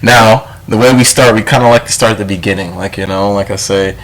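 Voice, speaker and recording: A man with a very low voice hosts a relaxed podcast chat. He talks loosely, with plenty of fillers and easy chuckles. He keeps the interview casual and friendly. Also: brisk, rough recording. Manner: laid-back, filler-heavy, chuckling. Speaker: podcast host.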